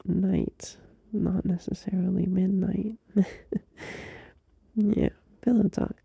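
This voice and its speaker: Male performer as a tender young boyfriend at night. Slow, breathy whisper with gravelly fry, close and dry. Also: sits high, little pitch movement, no room sound, exaggerated voice. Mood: tender, sleepy. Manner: soft drowsy whisper, unhurried, with small laughs. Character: gentle, loving young boyfriend soothing a partner at night. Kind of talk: ASMR audio roleplay. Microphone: close mic.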